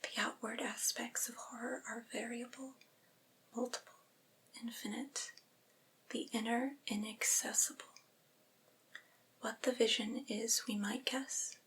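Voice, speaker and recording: A woman reading literary prose aloud in a breathy, unvoiced ASMR whisper, with measured, deliberate delivery. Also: a little high, sharp tone, low-quality recording.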